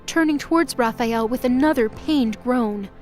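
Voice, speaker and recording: A woman's voice: fairly high pitch, moderate pace, darkish tone. A woman reading scripted, dramatic fiction as the narrator of an audio drama. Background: music.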